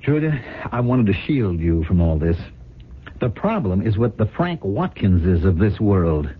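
A man's voice: on the low side, big pitch swings, unhurried, slightly rough, heavily acted. An actor playing a glib, scheming, defensive businessman husband in a theatrical 1970s radio drama style. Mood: glib, reassuring. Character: smooth-talking, scheming husband running a failing company. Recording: tinny, noisy old radio broadcast.

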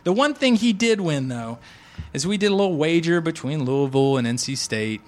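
A man's voice: on the high side, lively intonation, fairly quick. A man of forty hosting a sports podcast, joking and chatty, often restarting his sentences. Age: 40s. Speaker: podcast host. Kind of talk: casual sports podcast chat.

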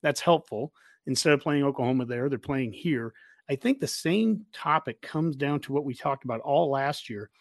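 A man's voice: medium pitch, lively intonation, moderate pace, no echo, clean studio sound. An American man hosting a casual sports discussion, talking in an emphatic, opinionated way. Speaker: podcast host.

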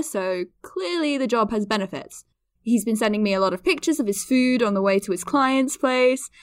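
A woman's voice: fairly high pitch, no room sound, clean studio sound. A woman playing a self-deprecating, nerdy podcaster in her twenties, rambling chattily with hesitant asides in an audio drama. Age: 20s.

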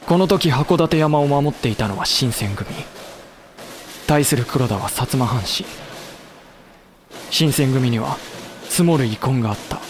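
A man's voice: medium pitch, expressive, a little slow, pauses often, background noise, lightly acted. A male actor playing a frank samurai vice-president who also narrates a historical war audio drama. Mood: grave.

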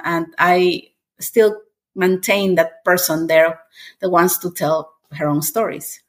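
A woman's voice: medium pitch, a bit bright. A woman in her forties from Peru, with a Peruvian Spanish accent and Spanish as her first language, talking as a guest and often restarting phrases while she searches for words. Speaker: guest author. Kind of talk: casual interview conversation.

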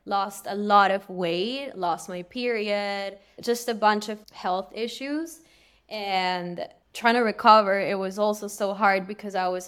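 Young woman, from Peru, podcast guest, smooth clear voice, medium pitch, candid, casual storytelling. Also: a bit bright. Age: young adult. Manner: candid, earnest.

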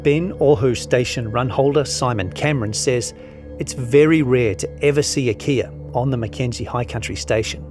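Kiwi guy reading a long article out loud, scripted narration, normal mid pitch, music going behind him. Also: moderate pace.

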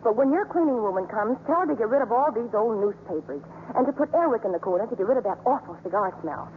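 An actress playing a brisk, chatty, opinionated newspaper columnist in a 1950s radio drama. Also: female voice, a little high, lively intonation, a little hiss or hum, natural voice. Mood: indignant. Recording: muffled 1950s radio broadcast, music behind.

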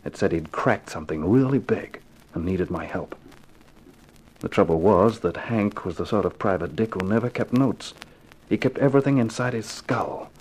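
An actor playing a tough, guarded private eye in a radio crime drama, terse, poker-faced and sardonic. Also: male voice, fairly low pitch, lively intonation, moderate pace, darkish tone, slightly rough. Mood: brooding, weary. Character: tough, guarded hard-boiled private eye, narrating his case. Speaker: actor playing detective and narrator. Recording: noisy old 1950s radio recording.

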